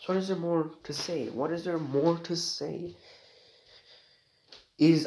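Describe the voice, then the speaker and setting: Sick teen boy vlogging, rambly and jokey, lots of slang, restarts a lot, medium pitch. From Romania. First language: Romanian. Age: teens. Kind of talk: casual rambling monologue.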